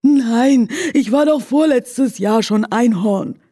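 A woman's voice: a little high, slow, mellow, pitched above the performer's own voice. A woman voicing a worried, whining horse in a children's radio story. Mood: indignant.

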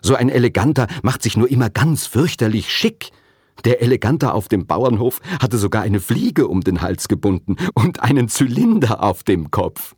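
A man's voice: mid-range voice, very animated, normal speed, darkish tone, husky, no echo, studio quality, voice pushed up. A man voicing an earnest, cheeky schoolgirl who tells tall tales insistently in a children's storybook reading. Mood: indignant.

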